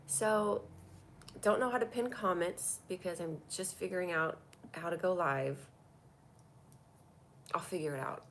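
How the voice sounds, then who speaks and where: A woman's voice: lowish voice, unhurried, long pauses, fairly bright tone, slight background noise. A woman with a cold and a stuffy voice, hosting a comedy live stream and chatting through viewer comments on a tablet. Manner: chatty and rambling, reacting to comments. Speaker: comedy show host doing a live stream.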